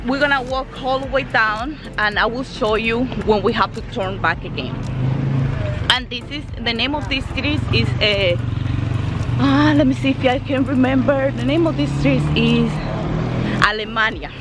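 A woman's voice: a little high, normal speed. A woman, chatting and correcting herself as she films a walking tour on a busy street, phone held at arm's length. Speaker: travel vlogger. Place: busy street outdoors beside traffic. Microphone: phone camera at arm's length. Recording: loud traffic noise and music behind. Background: passing cars and music.

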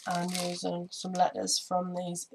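A woman talks through her craft project in a relaxed, rambling way, filling pauses with ums. Her voice is slightly croaky, lowish and fairly monotone. A faint background hiss sits under the recording. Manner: chatty, many ums, hands busy while talking. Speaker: scrapbooking vlogger. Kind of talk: casual crafting tutorial. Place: indoor home craft table. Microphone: overhead camera on desk, speaker off screen.

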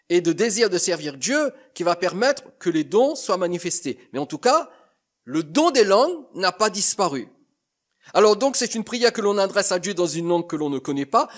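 A male preacher with a notably high voice delivers a teaching sermon. He speaks earnestly and with emphasis, turning often to address his listeners directly. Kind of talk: sermon teaching over slides.

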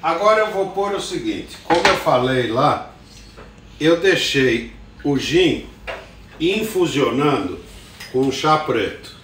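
A man's voice: on the high side, lively intonation, slow, long pauses, recorded from a distance, noisy background. An older man hosting a casual live cooking show in his home kitchen, chatting unhurriedly and greeting viewers by name. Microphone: phone camera at a distance. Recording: roomy kitchen echo from afar.

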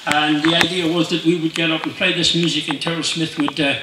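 An older man speaks informally to a club gathering, wandering through his stories. His voice sits high for a man. It comes from a distance, heavily echoing, with clear background noise. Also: level tone, fairly quick. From Ireland. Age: 60s or older. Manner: rambling, storytelling. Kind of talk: informal anecdotal speech to a gathering. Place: inside a marquee at a club rally. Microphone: handheld microphone through PA, captured by distant camera. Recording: echoey, noisy PA recorded from far off.

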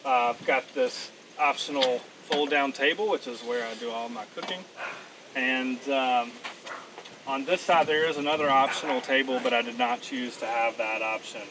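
A man in his thirties talks casually through a walkaround of his rig, relaxed and unhurried with plenty of ums. He stands a little back from the camera mic, and steady outdoor noise runs under his voice. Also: mid-range voice, recorded from a distance. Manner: relaxed, plain-spoken, frequent "um"s. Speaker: vehicle owner giving a walkaround. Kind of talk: casual show-and-tell walkaround. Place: outdoors at a campground event, by a truck, then inside the cab.

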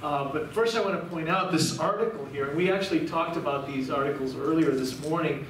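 Middle-aged man, slightly high voice, public lecture, frequent restarts, distant camera mic. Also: a little fast, slight background noise. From Cuba. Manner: warm, rambling with frequent restarts. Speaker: guest lecturer. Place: large room with projection screen, indoors. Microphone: far camera. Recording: very echoey room, recorded from afar.

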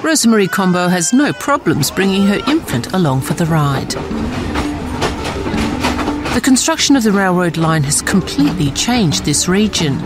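A woman reading scripted documentary narration in a measured, articulate way. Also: lowish voice, sing-song. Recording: studio voice-over with music.

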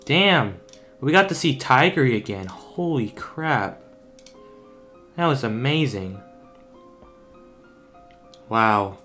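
A man's voice: medium pitch, expressive, takes it slowly, pauses often, bright, forceful tone. Young male YouTuber, mid-range voice, informal and exclamatory gaming commentary over faint music. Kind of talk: casual game reaction commentary.

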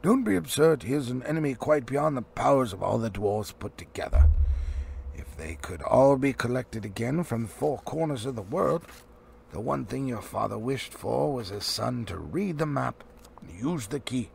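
A man's voice: mid-range voice, animated, normal speed, gravelly, darkish tone. A man voicing a stern, testy old male wizard from a storybook, speaking with grim emphasis and authority. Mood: scornful. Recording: faint background noise.

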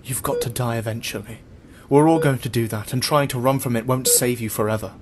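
A man's voice: mid-range voice, fairly quick, background noise, close to an everyday voice. An actor in an audio drama playing a frightened but defiant captive man, earnest and distressed.

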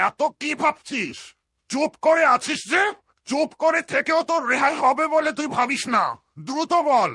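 A monstrous hawk from a fairytale cartoon, menacing and bossy. The voice is very high, harsh and husky, pushed hard into threats and orders. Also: male voice, pushed and bright, dry recording, clean studio sound, exaggerated voice. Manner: commanding, threatening. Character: menacing giant demon hawk, bullying servant of a queen.

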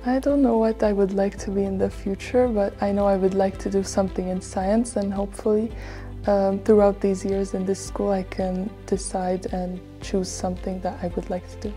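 Teen girl talking about her school, super mellow dark voice, soft music under. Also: mid-range voice, level tone, normal speed. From Hungary. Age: teens. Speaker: student. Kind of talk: prepared self-introduction. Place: studio set with flags. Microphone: lapel mic.

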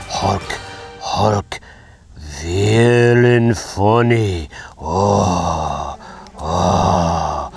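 A man's voice: low-pitched, heavily acted. A man voicing a huge, angry, simple-minded brute who roars and talks in broken grammar. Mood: dizzy. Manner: broken grammar, gruff roaring. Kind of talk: toy play voice acting.

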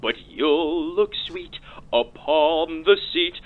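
A man's voice: a little high, drawn-out words. A man voicing an eerie, cheerful toy robot for children, stiff and polite as it greets and sings. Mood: singing sweetly. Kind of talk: prerecorded game character singing and greeting. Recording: tinny narrow-band toy speaker sound.

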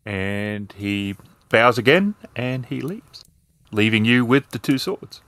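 A man's voice: mid-range voice, slow, a bit bright, dry recording. A man narrating as a game master, improvising descriptions in a hesitant, explanatory way.

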